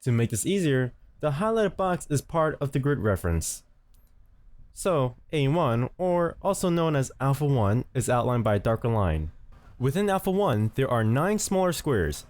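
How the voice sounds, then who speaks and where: A man's voice: medium pitch, sing-song, normal speed, darkish tone. A man giving scripted instructional narration as the host of a tutorial, speaking close to the mic.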